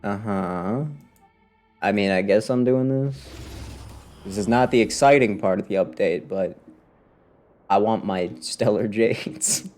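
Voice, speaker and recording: A man's voice: fairly low pitch, slow pace, long pauses, a little mellow. A man giving casual live commentary on a video game, talking to himself and his viewers in a wry, sarcastic way. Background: game music.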